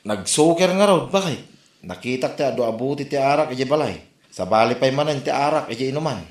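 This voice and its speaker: Exasperated grown son for Ilocano comedy drama, medium-pitched, reproachful, Ilocano accent, roomy sound male actor. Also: animated, quick pace, natural voice. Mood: worried. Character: exasperated grown son scolding his drinking father. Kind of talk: Ilocano comedy radio-style drama acting. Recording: roomy echo.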